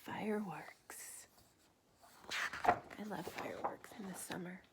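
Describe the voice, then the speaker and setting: A woman reading a picture book aloud to children like a teacher, gently pausing to ask questions and point things out. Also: lowish voice, vocal fry, whispered.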